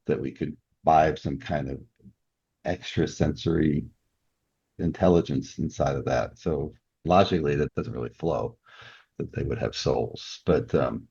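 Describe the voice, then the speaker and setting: A man with a very deep voice full of gravelly fry talks as an interview guest. He reasons through ideas aloud in a reflective, conversational way. The microphone sound is slightly muffled and lo-fi. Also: a little mellow. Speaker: guest author.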